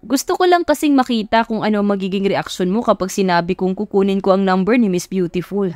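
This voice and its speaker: A woman's voice: mid-range voice, fast talker, darkish tone, dry recording, soft background music, natural voice. Woman voicing cheeky male bodyguard. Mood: teasing. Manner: playful, deferential. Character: cheeky, joking male bodyguard teasing his boss.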